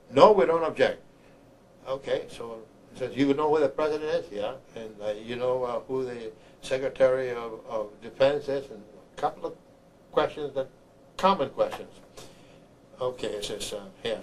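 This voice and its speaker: An older man from Honduras, an army veteran, recounts his memories haltingly, repeating words as he searches for the next one. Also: medium pitch, rough recording. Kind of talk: oral history recollection. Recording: clear background noise, room ambience.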